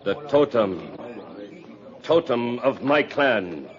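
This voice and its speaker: An actor playing a proud, vengeful Cheyenne chief in a 1950s radio drama, speaking grave, halting broken English in short clipped phrases. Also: male voice, medium pitch, big pitch swings. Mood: stern, wronged. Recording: dull 1950s radio recording.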